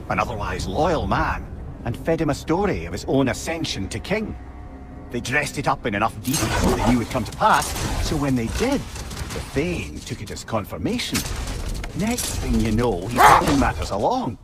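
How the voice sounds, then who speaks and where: An actor with a Scottish accent playing a wry, learned old advisor in a video game, eloquent and theatrical. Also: male voice, mid-range voice, sing-song, normal speed, natural voice. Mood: relishing, theatrical. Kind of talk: dramatic video game acting.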